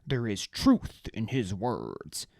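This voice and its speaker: A narrator voicing a huge, calm brute of a man who speaks in a slow, grave, measured way. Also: medium pitch, vocal fry, dry recording, clean studio sound, heavily acted. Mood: solemn. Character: huge, solemn male brute with a deep basso, loyal and calm.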